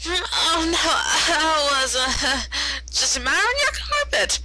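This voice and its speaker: A woman's voice: high voice, sing-song, slow, shouting, a little hiss or hum, pitched above the performer's own voice, heavily acted, low-quality recording. A woman voicing a flustered, folksy female farm pony, with stammering country phrasing, in a story reading. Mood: nervous covering.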